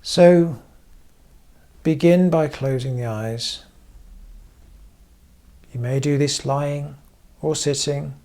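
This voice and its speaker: A man guiding a meditation as a therapist, giving gentle instruction in short, measured phrases with frequent pauses. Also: a little high. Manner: measured, pausing often between short phrases. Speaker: therapist leading guided meditation.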